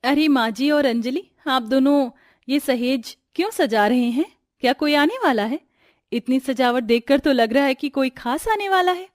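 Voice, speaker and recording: A woman's voice: high voice, normal speed, no echo, studio quality, lightly acted. A woman voicing a meek, wronged young wife who speaks in an earnest, pleading way in a cartoon story. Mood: puzzled.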